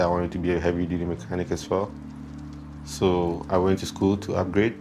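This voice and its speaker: A man from Ghana with a Ghanaian accent, giving a personal account in an interview. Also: low-pitched. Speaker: complainant interviewee. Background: music bed.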